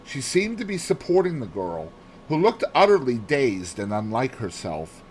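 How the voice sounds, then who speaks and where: A man narrates a classic mystery novel in a theatrical, expressive style. His mid-pitched voice moves through animated swings. A slight layer of background noise sits under the reading. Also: normal speed, rough recording. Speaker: audiobook narrator.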